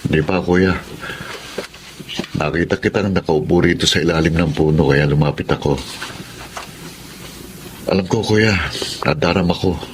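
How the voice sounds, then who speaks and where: Actor doing low earnest filipino younger brother, gentle, radio drama, noisy background. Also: male voice, normal speed, long pauses, close to an everyday voice. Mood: concerned.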